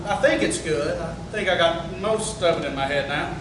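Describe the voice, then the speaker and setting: A man's voice: moderate pace, natural voice. Man as working-class husband, proud, folksy, naturalistic drama, heavy room echo. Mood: easygoing, affectionate. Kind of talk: naturalistic stage drama table reading. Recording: very echoey, distant, rumbling noise.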